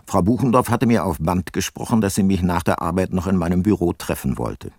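An actor playing a reflective, weary private detective in a radio drama, measured and laconic. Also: male voice, sits low, darkish tone, dry recording, studio quality. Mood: reflective, wry. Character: weary, reflective male private detective narrating his case. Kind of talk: scripted narration in a radio drama.

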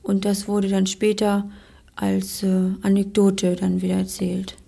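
A woman sharing personal recollections in an unscripted, halting and plain-spoken way. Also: medium pitch, monotone, vocal fry, mellow, husky.

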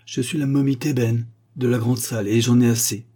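A man's voice: medium pitch, fairly even intonation, fairly quick, dark tone, husky, voice pushed up, lightly acted. A man voicing an irritable ancient Egyptian mummy who scolds and insults in a raised voice. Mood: irritated. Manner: scornful, peevish scolding.

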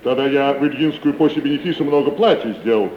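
A man's voice: mid-range voice, quick pace, echoey room, crowd noise, lightly acted. An actor playing a bossy, grandiose wandering male tragedian in a 1950s radio play, pompous, blunt and condescending. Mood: boastful. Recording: dull, faintly noisy 1950s radio recording.

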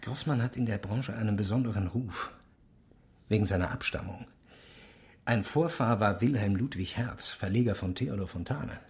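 An actor playing a big, fussy and eager association official in a radio drama, with a voice that is not deep and a hesitant, chatty manner. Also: male voice, normal speed, darkish tone, lightly acted. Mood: self-important. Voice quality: voice not deep despite bulk.

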